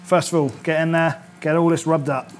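A man's voice: on the high side, level tone, brisk, a little hiss or hum. A British man in his thirties, a chef talking chattily through an informal cooking demonstration, checking in as he goes. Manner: chatty, instructional, often checks with "all right?". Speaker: chef presenting a cooking series. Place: indoor kitchen studio set.

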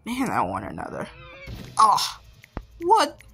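Woman voicing an animated, bright, exasperated cartoon cat. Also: mid-range voice, slow pace, gravelly, soft background music, exaggerated voice. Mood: grumbling. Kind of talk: cartoon fandub voice acting.

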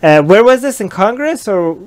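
A young man with a smooth, resonant voice, a touch on the higher side, hosting a relaxed livestream from a small room. He talks into a headset, reading viewer comments aloud and reacting to them. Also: normal speed. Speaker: livestream host. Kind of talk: casual live-stream discussion.

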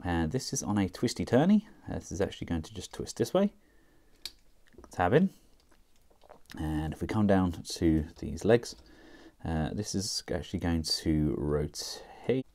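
A man talking casually through a toy review, chatty and relaxed with frequent fillers as he works the figure with his hands. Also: low voice, a little slow. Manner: relaxed, chatty, thinking aloud with many fillers.

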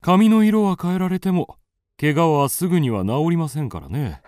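A man's voice: on the high side, animated, moderate pace, darkish tone, no room sound, studio quality, lightly acted. A man playing a composed, attentive writer in a radio drama. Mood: intrigued.

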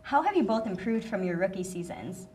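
A woman's voice: mid-range voice, big pitch swings, a little fast, a little mellow, a little hiss or hum. A young woman hosting a student sports show from a studio desk, reading her lines upbeat on a lapel mic. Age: young adult. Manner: upbeat, reads from a script. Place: small TV studio set, indoors.

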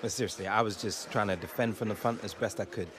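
A man with a British accent playing a modest, polite young footballer giving a measured post-match interview in a game. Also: on the low side, fairly even intonation, crowd noise, lightly acted. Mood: relaxed. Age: young adult. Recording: clear background ambience noise.

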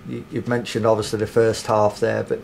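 A male club interviewer puts steady, slightly hesitant questions to the manager. A faint room hum sits under his voice. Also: lowish voice, gravelly. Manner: measured, hesitant questions with fillers. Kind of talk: post-match interview questions.

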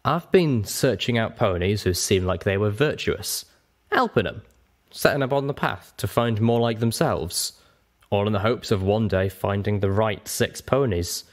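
A man's voice: fairly low pitch, measured pace, close to an everyday voice. A man voicing an ancient, lonely dragon guardian in a story reading, weary, blunt and grave. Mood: morose. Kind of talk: audiobook character voice.

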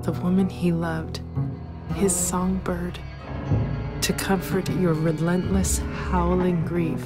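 Low-voiced solemn woman over loud music. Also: little pitch movement. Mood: grave, compassionate. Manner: deliberate, poetic, measured. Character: solemn, knowing woman, poetic and probing. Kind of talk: dramatic animated film narration.

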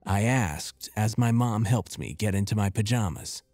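Man, horror storyteller, dark mellow tone, deliberate expressive delivery, close dry mic, music behind. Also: fairly low pitch, no echo. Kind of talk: scripted horror storytelling narration.

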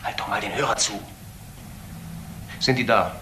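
A man's voice: fairly low pitch, normal speed, bright, forceful tone. An actor playing a cynical, scornful young man in a crime drama, curt and sarcastic. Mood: curt, suspicious. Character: cynical, scornful nephew of a drinker. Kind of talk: German crime drama acting. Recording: roomy, noisy drama soundtrack.

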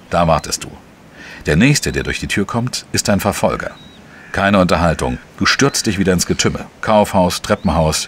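An actor playing a world-weary, wry male investigative journalist, narrating a crime audio drama in a dry, measured way. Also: sits low, a little hiss or hum. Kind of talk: scripted first-person crime drama narration. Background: music.

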